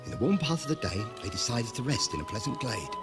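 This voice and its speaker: A man playing a storyteller who narrates a royal legend gravely and formally in an audio drama. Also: mid-range voice, sing-song, quick pace, natural voice. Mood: solemn. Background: music.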